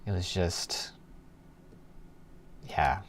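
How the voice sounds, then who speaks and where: A man's voice: low voice, extremely slow. A man in a casual coding livestream, thinking aloud and muttering to himself as he trails off, with keyboard typing. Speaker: live programming streamer. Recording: clear background noise, keyboard typing.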